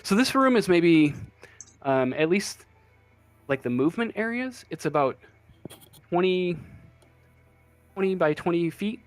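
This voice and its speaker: A man with a slightly high voice narrates a tabletop game as game master. He improvises haltingly, searching for words, with faint music behind him. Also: lively intonation, no echo.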